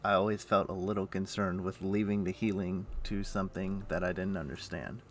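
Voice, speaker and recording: A man's voice: deep voice, fairly even intonation, a little fast, slight background noise. A man streaming a game, chatting casually and thinking aloud in an easygoing, musing way. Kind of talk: casual chat while playing an online game.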